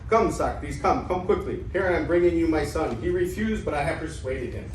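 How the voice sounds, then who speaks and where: A man's voice: fairly high pitch, brisk, close to an everyday voice. A man playing an aging, gullible Greek father, pushy and declamatory, in an amateur stage reading of ancient comedy. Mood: scolding, urgent. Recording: echoey room, distant mic, faint noise.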